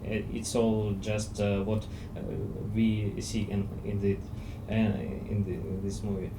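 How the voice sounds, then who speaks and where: A lower-voiced man with a Russian accent speaks English haltingly, searching for his words. He speaks slowly, heard from afar in an echoey cinema auditorium with steady noise behind him. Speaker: discussion club participant. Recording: echoey hall, distant, noisy.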